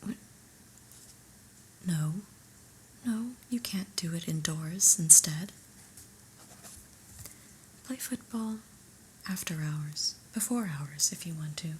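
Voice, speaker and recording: A woman playing a stern, unimpressed new office boss in an ASMR roleplay, speaking in a soft breathy whisper with a deliberate, dry, officious manner. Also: fairly low pitch, a little hiss or hum, rough recording. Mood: exasperated.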